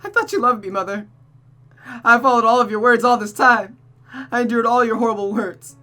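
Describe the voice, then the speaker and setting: A woman's voice: on the high side, brisk, sharp tone, soft background music, voice pushed up. A woman voicing a desperate, sobbing young woman who pleads and stammers, read from a visual novel. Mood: hurt, accusing. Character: Rosa, sobbing desperate young woman devoted to cruel Mother. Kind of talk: visual novel character reading.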